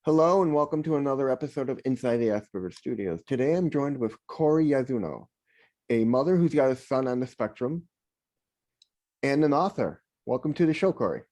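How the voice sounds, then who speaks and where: A man's voice: mid-range voice, lively intonation, fairly quick, a little mellow. Guy hosting a podcast, friendly scripted intro, short questions. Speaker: podcast host.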